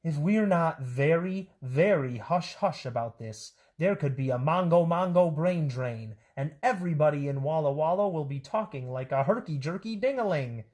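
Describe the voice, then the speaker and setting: A woman's voice: deep voice, lively intonation, moderate pace, few pauses, darkish tone, voice pushed up. A woman voicing a bossy, conspiratorial female school nurse with emphatic, rhyming silly talk. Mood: urgent.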